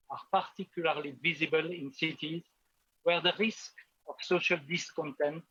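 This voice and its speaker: A man with a voice delivering a formal, measured official speech with occasional hesitations. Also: on the high side, fairly bright tone, husky, no echo, lo-fi sound. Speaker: official speaker.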